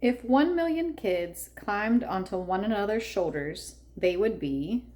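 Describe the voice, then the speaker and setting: Slow-paced woman reading a picture book. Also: medium pitch, lively intonation, dark tone. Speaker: read-aloud narrator for children. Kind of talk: expressive picture-book read-aloud.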